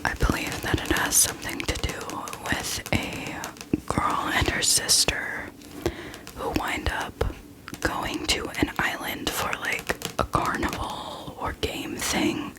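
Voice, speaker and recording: A woman hosting a casual whispered ASMR book chat, speaking in a soft, breathy whisper with a hesitant, self-deprecating manner. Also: slow pace, low-quality recording. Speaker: book video host.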